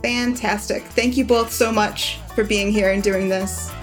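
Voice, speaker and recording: A woman's voice: medium pitch, steady tone, unhurried, faint music behind, poor audio. A woman hosting a podcast in a casual, conversational style. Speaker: podcast host.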